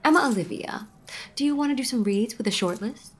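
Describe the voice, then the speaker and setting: Effusive theater director. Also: female voice, on the high side, lively intonation, fairly quick. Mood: startled, diplomatic. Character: upbeat, flattering female theater director-choreographer. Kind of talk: comedic audio drama acting.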